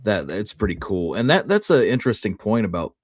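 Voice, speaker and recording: A man's voice: lowish voice, big pitch swings, a little fast, dry recording, clean studio sound. An American man chatting casually as a co-host, giving his opinions in colloquial language. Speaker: podcast co-host. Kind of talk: casual history chat.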